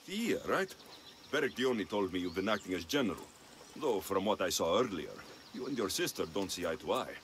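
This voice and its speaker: An actor playing a confident male Greek mercenary in a video game, dry and measured in manner. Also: deep voice, sing-song, a bit bright, a little hiss or hum. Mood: wry, teasing. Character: confident wry male Greek mercenary, battle-seasoned adult. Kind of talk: video game cutscene acting.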